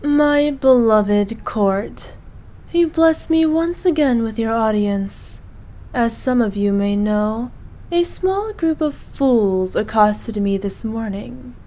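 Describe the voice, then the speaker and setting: A woman's voice: on the high side, expressive, slow, dark tone. A woman playing an evil, imperious queen in a scripted roleplay, speaking in a haughty, formal, commanding manner. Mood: regal, weary. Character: evil imperious queen, cruel to subjects, doting on her knight. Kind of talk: scripted ASMR roleplay acting.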